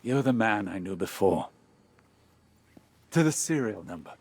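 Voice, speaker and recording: A man voicing a devoted, earnest man in a video game, speaking in a measured way. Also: fairly low pitch, animated, darkish tone. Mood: earnest, pained.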